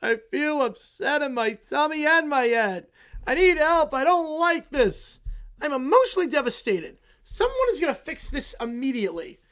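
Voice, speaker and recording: A man voicing a group of entitled, whining cartoon kids who complain dramatically and shout. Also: extremely high pitch, sing-song, moderate pace, pitched above the performer's own voice, exaggerated voice. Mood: whiny.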